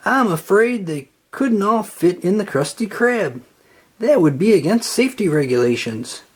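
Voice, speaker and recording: A storyteller voicing a cheerful, eager young sponge fry cook in a children's storybook, exclaiming excitably. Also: medium pitch, normal speed, pitched above the performer's own voice. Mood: amazed. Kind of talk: children's storybook read-aloud.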